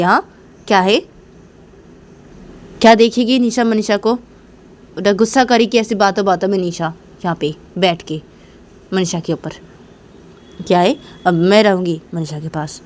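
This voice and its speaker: A woman's voice: medium pitch, a little mellow, lightly acted. Woman acting older mother, firm, protective, reproachful, insistent repetition, family storytelling.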